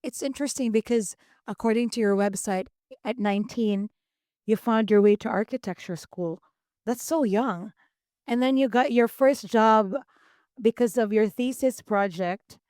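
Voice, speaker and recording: Woman podcast host, smooth voice, dry recording; curious interviewer. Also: a little high, normal speed, a little mellow, no echo. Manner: curious, asks follow-up questions. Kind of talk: interview questions.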